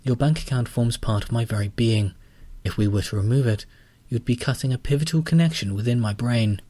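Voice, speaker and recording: Man voicing a pompous AI bank mind, slightly higher and breathy, slow and grand. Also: mellow, dry recording, natural voice. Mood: lofty. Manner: measured, lofty, pompous. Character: grandiose sentient bank computer, calm and self-important.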